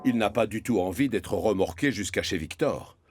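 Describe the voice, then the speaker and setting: A man's voice: on the low side, normal speed. Male storybook narrator over prominent music. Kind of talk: scripted children's story narration.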